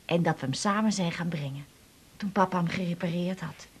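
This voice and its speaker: Middle-aged mother, husky breathy voice, confiding, rambling, wounded, natural radio-play delivery. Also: lowish voice, brisk. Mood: nostalgic. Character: hurt, chatty mother back from a failed holiday. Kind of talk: naturalistic 1980s radio drama dialogue.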